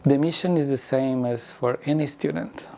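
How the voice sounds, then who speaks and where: Middle-aged male administrator, warm mellow tone, measured and formal in an interview. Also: mid-range voice, steady tone, vocal fry, slight background noise. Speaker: university administrator. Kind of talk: interview explanation.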